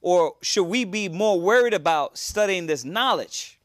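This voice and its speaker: A man's voice: sits high, expressive, measured pace, no room sound, studio quality. A man teaching a religious class on a cable show, earnest and repetitive in his preaching.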